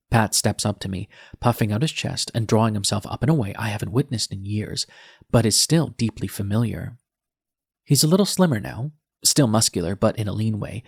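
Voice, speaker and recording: A man's voice: fairly low pitch, gravelly, husky, no room sound, studio quality. A man narrating an audiobook in the first person as a defiant, bitter young male nurse, with a sardonic, edgy manner.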